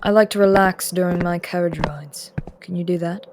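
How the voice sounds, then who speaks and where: A woman voicing an aloof, aristocratic immortal prince in an audio roleplay drama, speaking in a cool, commanding tone with soft murmured asides. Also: lowish voice, fairly even intonation, normal speed, heavy background noise, close to an everyday voice. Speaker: voice actor.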